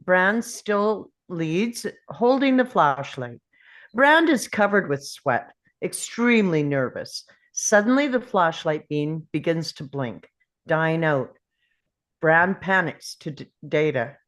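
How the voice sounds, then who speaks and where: A woman's voice: sits low, expressive, takes it slowly. A woman reading out the stage directions as narrator at a table read of a film script.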